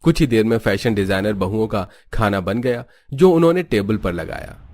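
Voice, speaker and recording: A man narrates a scripted cartoon story. His voice sits on the low side, and he tells the family tale as a storybook narrator. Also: lively intonation, normal speed, darkish tone.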